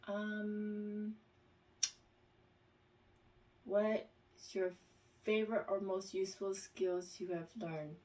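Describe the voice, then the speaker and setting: A woman vlogger reads questions aloud and answers them in a casual, halting way, with plenty of pauses and fillers. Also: mid-range voice, level tone, mellow, distant mic, poor audio. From United States.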